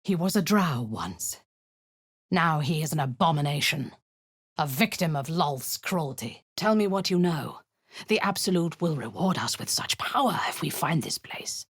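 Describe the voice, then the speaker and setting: A woman playing a cruel, haughty drow cult commander in a video game, with a breathy, husky voice and a commanding, menacing manner. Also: low-pitched, expressive, unhurried, heavily acted. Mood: low, menacing. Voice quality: breathy, husky, often dropping to a whisper. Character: imperious, cruel female drow cult commander, seductive and zealous.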